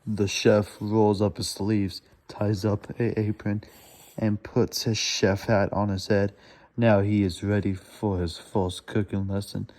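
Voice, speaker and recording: Slow, mellow-voiced man reading haltingly. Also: on the low side, level tone. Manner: stumbling, laboured reading with self-corrections. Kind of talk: halting read-aloud of a children's storybook.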